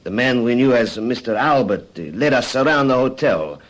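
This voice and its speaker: A man acts out a cowed suspect in a classic radio crime drama. His voice is of middling pitch. He speaks in earnest, halting phrases, repeating himself as he eagerly gives up what he knows. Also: lively intonation, natural voice. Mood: halting confession. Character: cowed, eager-to-confess male arson suspect, Italian immigrant.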